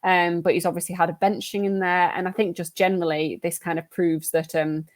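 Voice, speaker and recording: A woman guest on a football fantasy podcast, talking in a relaxed, self-deprecating way with many "um"s. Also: fairly low pitch, fairly quick, no room sound, clean studio sound. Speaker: guest analyst. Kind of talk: casual chat.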